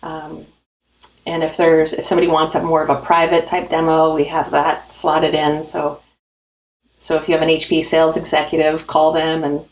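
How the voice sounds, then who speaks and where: A woman's voice: low voice, completely flat delivery, normal speed. A woman guest in a remote business interview, talking in an upbeat, promotional way with frequent fillers. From United States. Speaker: industry guest from a printing company. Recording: roomy, dull audio with faint music.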